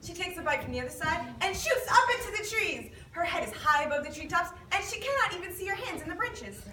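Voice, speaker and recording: A woman's voice: very high voice, lively intonation, quick pace, sharp tone. A woman reading scripted narration in an amateur readers theater performance. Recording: echoey room, distant mic, clear noise.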